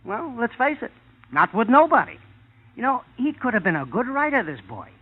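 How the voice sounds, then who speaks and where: A man's voice: very high voice, big pitch swings, normal speed, exaggerated voice. A man with an American accent playing a blunt, exasperated showbiz agent in an old-time radio drama, slangy and complaining. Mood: dismissive. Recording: muffled vintage radio recording.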